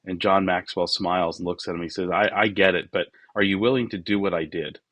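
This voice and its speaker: Low-pitched man, close dry sound. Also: steady tone, a little fast, fairly bright tone, dry recording. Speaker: podcast guest, business founder. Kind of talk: casual conversational interview.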